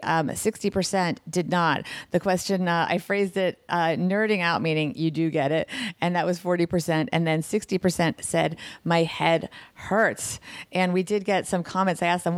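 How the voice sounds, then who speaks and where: A woman hosting a money podcast from her home kitchen, her voice hoarse, chatty and upbeat with quick asides. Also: lowish voice, no echo. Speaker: podcast host and financial planner. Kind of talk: casual podcast chat.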